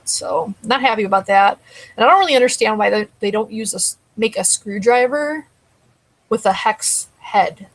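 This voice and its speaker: Woman with a husky, gravelly voice. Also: on the high side, animated, moderate pace, low-quality recording. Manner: rambling, conversational, answers chat comments. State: dry throat, voice tiring. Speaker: live show host. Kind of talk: casual live-stream chat.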